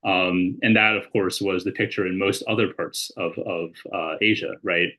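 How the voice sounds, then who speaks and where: Podcast guest, guy with a lowish voice, measured and explaining stuff. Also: fairly even intonation, bright, forceful tone. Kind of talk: casual discussion, reading quotes.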